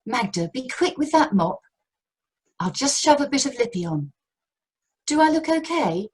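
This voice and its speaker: Actress as British committee wife: bossy, wry, animated delivery, raspy edge, amateur radio play. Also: female voice, high voice, moderate pace, exaggerated voice. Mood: flustered. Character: fussing, harried chairman's wife. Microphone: video call.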